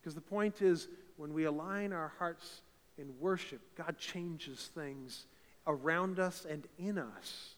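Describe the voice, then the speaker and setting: Fervent preacher with a big voice. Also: male voice, a little high, slow pace. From eastern Canada. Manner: fervent, builds to loud emphatic peaks. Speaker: pastor. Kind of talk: sermon. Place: church sanctuary. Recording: reverberant church hall.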